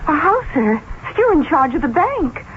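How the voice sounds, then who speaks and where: A brisk, firm secretary in a radio drama. Her voice is high and very animated, heard through a tinny old recording. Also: noisy background. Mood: firm, matter-of-fact.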